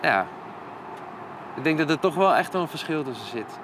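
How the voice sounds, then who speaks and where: A musician chatting casually and agreeably about upcoming shows. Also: male voice, on the high side, expressive, fairly quick, noisy background. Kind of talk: casual chat.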